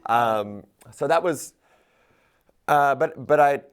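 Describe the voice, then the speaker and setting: A man's voice: mid-range voice, slow, slight background noise. A man as a guest in a casual interview, speaking reflectively and candidly, laughing at himself now and then.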